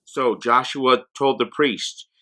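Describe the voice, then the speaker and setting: An American man, a priest, telling a Bible story to children in simple words with deliberate repetition for emphasis. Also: medium pitch, fairly even intonation, pushed and bright. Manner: simple, deliberate explanations with repeated phrases for emphasis.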